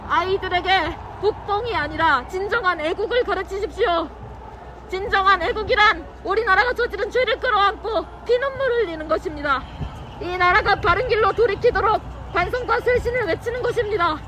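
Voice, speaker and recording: Very high-pitched woman speaking at street rally. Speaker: protest speaker and event host. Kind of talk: impassioned political speech and announcements at a rally. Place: outdoor city street demonstration. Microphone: handheld microphone. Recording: noisy outdoor street, crowd and traffic.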